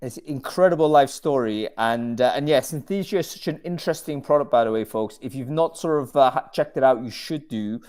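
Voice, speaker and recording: A man's voice: medium pitch, a little fast, hardly any pauses, darkish tone, smooth. Rambling self-deprecating male host. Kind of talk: casual video-call chat. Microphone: video call.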